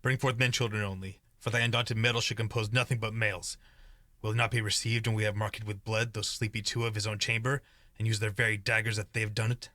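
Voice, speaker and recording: A young man plays a conflicted, ambitious lord in a Shakespeare radio drama. The voice is fairly low with a forceful edge, declaiming verse earnestly. It is recorded very dry. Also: little pitch movement, fast talker, dry recording. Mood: resolved, grim. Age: young adult. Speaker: student actor. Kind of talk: amateur Shakespearean radio play acting.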